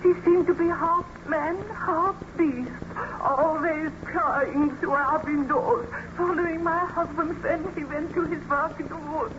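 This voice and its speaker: High-voiced, frightened peasant widow. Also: female voice, fairly even intonation. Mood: shaken. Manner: halting, tremulous, melodramatic. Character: frightened, grieving peasant widow, pleading and helpless. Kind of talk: old-time radio drama acting. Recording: muffled, noisy old radio recording.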